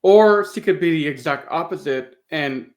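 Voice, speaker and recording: A man's voice: fairly high pitch, moderate pace, darkish tone. A man hosting a podcast, chatting casually through a review in a thoughtful, appreciative way and rephrasing as he goes. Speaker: podcast host.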